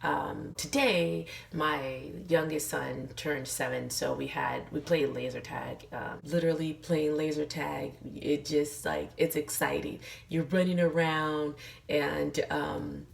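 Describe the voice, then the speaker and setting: A middle-aged woman vlogging in a casual, encouraging way while losing her voice, hoarse and full of fillers. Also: deep voice, steady tone, takes it slowly, distant mic. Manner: chatty, many fillers, earnest encouragement. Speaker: lifestyle vlogger. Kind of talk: casual motivational talk to camera.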